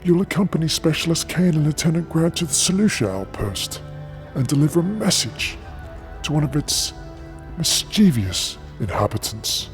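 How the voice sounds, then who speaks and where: A man playing a cold, powerful corporate leader who speaks with measured authority in a sci-fi audio drama. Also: on the high side, lively intonation, faint music behind, natural voice.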